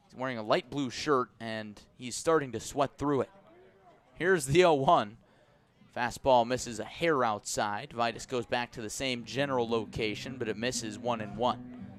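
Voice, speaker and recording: A man calling live baseball play-by-play for the radio in a measured, descriptive way. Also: medium pitch, a bit bright. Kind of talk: live radio sports commentary.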